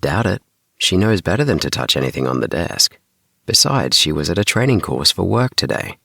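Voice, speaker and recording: A man's voice: deep voice, big pitch swings, moderate pace, gravelly, bright, forceful tone, dry recording, clean studio sound, exaggerated voice. A man voicing an earnest, defensive child inventor with an insistent way of talking, in a children's audiobook. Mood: sure. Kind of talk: children's audiobook character dialogue.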